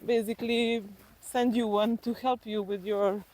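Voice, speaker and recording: A woman from Slovenia with a Slovenian accent, telling personal stories reflectively in conversation and haltingly thinking aloud as an interview guest. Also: fairly high pitch, steady tone, darkish tone, low-quality recording.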